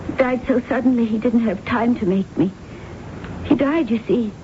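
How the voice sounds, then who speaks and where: An actress playing a haunted, grief-broken woman, prematurely aged and ruled by her dead father, in intense, halting 1970s radio drama style. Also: fairly high pitch, dark tone, close to an everyday voice. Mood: haunted, despairing. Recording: dull, noisy old radio recording.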